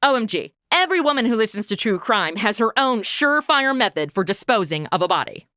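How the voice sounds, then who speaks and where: Bossy modern gal, played by an actress: high, lively voice, blunt slangy sarcasm, comic radio drama. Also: female voice, fairly quick, no echo, studio quality. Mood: eager, matter-of-fact. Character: brash, bossy, sarcastic modern young woman. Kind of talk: comedic radio drama acting.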